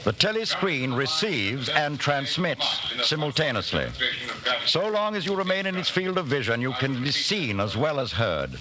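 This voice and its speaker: An actor as the foreboding narrator of a dystopian 1940s radio drama, dramatic and deliberate. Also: male voice, a little high, sing-song. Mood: ominous. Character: ominous second-person narrator of a dystopian tale. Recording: hissy, noisy 1949 radio recording.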